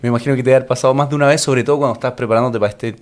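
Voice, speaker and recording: A man's voice: mid-range voice, steady tone, rapid-fire. Chatty Chilean man asking questions. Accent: Chilean Spanish. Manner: friendly, chatty questions with many fillers and affirmations. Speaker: interviewer host. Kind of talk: casual interview conversation.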